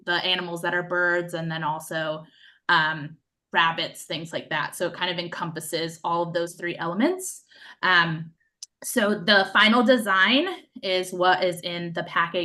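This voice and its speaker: A woman with a somewhat low but bright, forceful voice, giving a project update at a public meeting. She talks conversationally, dropping in frequent ums as she goes. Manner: conversational, many um fillers. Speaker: city staff presenter at a public meeting. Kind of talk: meeting presentation.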